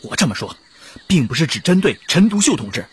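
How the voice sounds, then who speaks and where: A man's voice: a little high, sing-song, a little fast, breathy edge, heavily acted. An actor playing a firm, principled male communist delegate who debates assertively in a historical radio drama. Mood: firm, argumentative.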